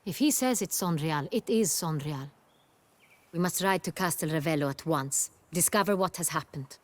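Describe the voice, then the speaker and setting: A woman's voice: low voice, normal speed, close to an everyday voice. A woman playing a proud, imperious duchess in a video game, formal and commanding in manner. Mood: resolute, commanding.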